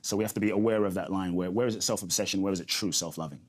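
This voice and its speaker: A man's voice: sits low, racing. A man, a guest speaker talking earnestly and reflectively in an interview, often repeating his key phrases. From grew up in London. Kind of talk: interview conversation.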